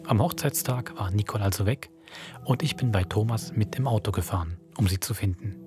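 Low-voiced man hosting a horror podcast. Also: a little fast, music in the background. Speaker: podcast host. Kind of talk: scripted narration introducing a horror audio drama.

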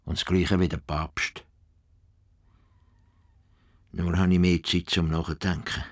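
An actor playing an earnest, self-assured farmer-philosopher in a radio play, pondering and deliberate. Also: male voice, deep voice, level tone. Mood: musing.

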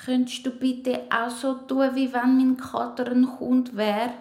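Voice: sits high, flat delivery, unhurried, darkish tone, echoey room. A performer playing an eager, cheeky young boy with a Swiss accent in a children's radio play. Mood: wistful, pleading.

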